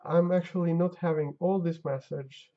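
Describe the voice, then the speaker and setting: Tired, gravelly-voiced game developer streaming. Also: male voice, a little high, fairly even intonation, normal speed, mellow. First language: Albanian. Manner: muttering to himself while testing, talking to chat. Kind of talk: casual live-stream chat while coding. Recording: dull lo-fi stream audio.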